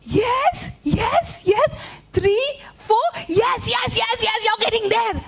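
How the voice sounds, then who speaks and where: A woman voicing a sly male spider trickster who pleads in a shrill, frantic voice while telling a story. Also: takes it slowly, creaky, full character voice. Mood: frantic pleading. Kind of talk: storytelling to a child.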